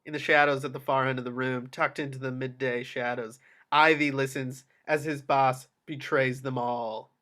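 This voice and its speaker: A man's voice: medium pitch, fairly even intonation, moderate pace, bright, forceful tone. A man narrating the action lines of a screenplay at a table read.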